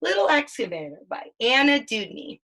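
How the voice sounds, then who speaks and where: A mother reading a children's picture book aloud, with sing-song storytelling and drawn-out sound words. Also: high-pitched, sing-song, a bit bright. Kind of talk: children's read-aloud with questions.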